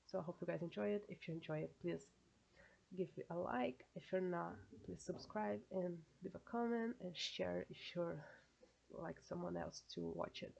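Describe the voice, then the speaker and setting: A woman's voice: lowish voice, normal speed, gravelly, a little mellow, highs cut off. A woman from Brazil with a Brazilian Portuguese accent, chatting casually to her viewers with lots of fillers, and a stuffed nose from a cold. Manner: chatty, many fillers, self-correcting phrasing. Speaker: reaction video creator. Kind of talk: casual solo commentary.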